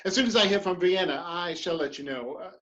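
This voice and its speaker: A woman's voice: on the low side, brisk, a bit bright, muffled recording, lightly acted. A woman playing a proud, ambitious male politician in a dramatic play reading, speaking with theatrical emphasis and defiance. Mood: anxious, resolute.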